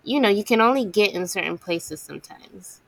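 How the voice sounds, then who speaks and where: Raspy-voiced woman hosting an interview. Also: mid-range voice, lively intonation, moderate pace, no echo, low-quality recording. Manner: warm, laughing, affirming. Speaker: interview host. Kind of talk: casual interview conversation.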